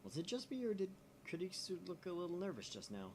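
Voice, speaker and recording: A man co-hosting a visual novel playthrough, switching between casual chat and animated narration of the game text. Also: high voice, fast talker, slight background noise.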